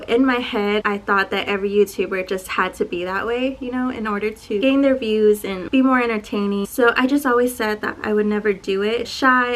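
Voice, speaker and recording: Soft-spoken woman in her twenties. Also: medium pitch, low-quality recording. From Dallas, Texas. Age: 20s. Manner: soft-spoken, self-described shy and quiet. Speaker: YouTuber. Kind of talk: casual get-to-know-me Q&A.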